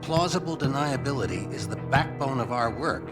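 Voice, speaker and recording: A man's voice: mid-range voice, lively intonation, moderate pace, natural voice. A man playing a skeptical, dismissive American government official in a video game, formal and officious. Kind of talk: video game cinematic acting. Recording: loud music behind dialogue.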